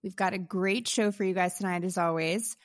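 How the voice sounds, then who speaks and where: Woman, mid pitch, dry recording, upbeat co-host, slight cold. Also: fairly even intonation, brisk, dry recording. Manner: upbeat, runs down the episode rundown. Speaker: podcast co-host. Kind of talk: casual podcast chat. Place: New York.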